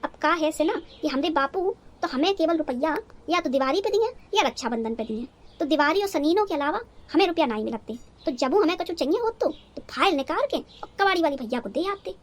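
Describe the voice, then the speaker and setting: A woman's voice: high voice, expressive, a little fast. A woman playing a naive, dramatic, talkative young village bride in a cartoon, with a rural North Indian accent and a rambling, guileless manner. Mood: naive, chatty. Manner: rambling, guileless, theatrically indignant. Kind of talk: cartoon story dialogue in rustic dialect.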